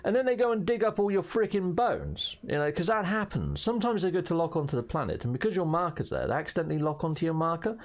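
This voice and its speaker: Hoarse, lively British gaming host. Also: male voice, a little high, fast, few pauses. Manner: chatty and jokey, with playful slang and mild swearing. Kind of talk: casual gameplay commentary.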